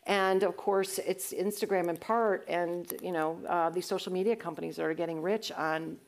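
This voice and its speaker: A woman's voice: lowish voice, fairly even intonation, normal speed. A woman on a symposium panel, answering an audience question in an earnest, conversational way with frequent restarts.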